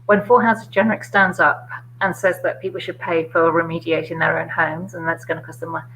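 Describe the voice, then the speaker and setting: An older woman with a London middle-class accent, chatting casually about politics with many fillers and quick laughs. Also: on the low side, level tone, vocal fry, fairly bright tone, slight background noise, poor audio. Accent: London middle-class English. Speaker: guest, former MP.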